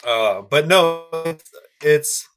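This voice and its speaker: A man's voice: fairly high pitch, lively intonation, takes it slowly, a bit bright. A man in casual podcast chat, ranting heatedly with frequent swearing.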